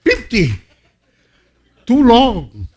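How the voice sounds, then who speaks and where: A man in his seventies giving an informal sermon as a guest pastor, telling personal stories in a halting, self-deprecating way. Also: extremely high pitch, huge pitch swings, slight background noise. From San Francisco, United States, born to a Filipino family. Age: 70s.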